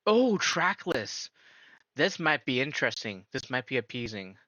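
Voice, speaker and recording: A man's voice: medium pitch, sing-song, measured pace, bright, forceful tone, no echo, muffled recording. A man live-streaming a casual reaction, incredulous and firing off repeated questions.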